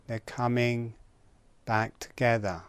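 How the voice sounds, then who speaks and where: Male relaxation instructor, very slow pacing, calm and gentle, short spaced phrases, dry close sound. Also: medium pitch, smooth, dry recording. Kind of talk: guided relaxation exercise instruction.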